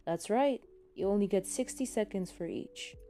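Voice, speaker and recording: A woman voicing a mischievous young male science genius in a story, explaining in a low-key, curious way. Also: moderate pace, warm tone, a little hiss or hum, lightly acted. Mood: keen explaining.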